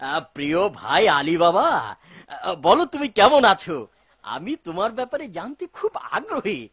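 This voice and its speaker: A man playing a greedy, scheming, bad-tempered husband in a dubbed cartoon, demanding and sly, with a husky voice. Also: high-pitched, sing-song, moderate pace, a bit bright. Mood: sly menace.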